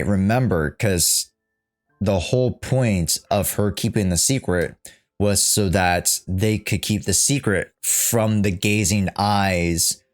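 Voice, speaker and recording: Low-pitched man giving blunt, casual reaction commentary. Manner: blunt, swearing, repeats phrases as he reasons aloud. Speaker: streamer reacting to a video game story.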